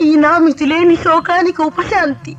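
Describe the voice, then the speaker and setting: High-pitched grieving widowed queen. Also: fairly even intonation. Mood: grieving, wounded. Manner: theatrical, drawn-out lamenting delivery. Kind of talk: 1980s radio mythological play, declamatory verse and dialogue. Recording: dull old radio recording with music.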